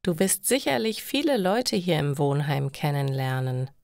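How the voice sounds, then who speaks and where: A woman voicing a kindly, supportive middle-aged father in a storybook, gently reassuring and slow. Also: low-pitched, big pitch swings, gravelly, no echo, clean studio sound, full character voice.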